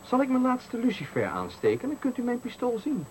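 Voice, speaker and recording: An actor in a Dutch radio play playing a taunting, menacing stranger who speaks with deliberate, needling politeness in a Netherlands accent. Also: male voice, extremely high pitch, huge pitch swings, a little mellow, heavily acted. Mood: coldly accusing. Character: menacing, taunting male stranger cornering a man at night. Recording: faintly noisy 1980 radio recording.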